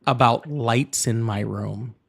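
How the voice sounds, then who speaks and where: A man's voice: medium pitch, slow pace, vocal fry, slightly rough. A man in his late thirties hosting a heated political debate from his home office, curt and sarcastic. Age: 30s. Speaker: debate host.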